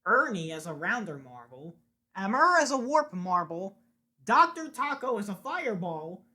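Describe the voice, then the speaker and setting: A woman's voice: medium pitch, big pitch swings, moderate pace, pushed and bright. A woman reading scripted lines aloud in a declamatory way, with sing-song emphasis on her phrases. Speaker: amateur animator recording voice lines.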